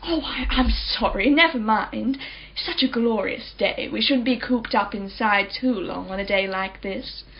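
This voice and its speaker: A woman's voice: on the high side, a little slow, a little hiss or hum. An actress playing a wealthy, well-spoken woman in her forties in a radio play, chatty, polite and self-assured. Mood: breezy, chatty. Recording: slightly dull, faint crowd behind.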